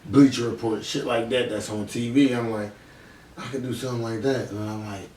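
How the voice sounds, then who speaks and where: A man's voice: medium pitch, steady tone, brisk, darkish tone. A man with a Philadelphia accent in casual podcast conversation, talking in slangy, profane language. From Philadelphia, United States. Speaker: podcast host. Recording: roomy echo, distant mic, faint noise.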